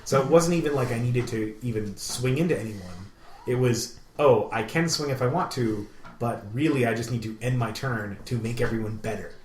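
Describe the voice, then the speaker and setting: A man's voice: medium pitch, moderate pace, a little mellow, a little hiss or hum. A man co-hosting a podcast, chatting casually in an enthusiastic, rambling way. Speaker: podcast co-host. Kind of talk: casual chat. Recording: roomy, echoey room sound.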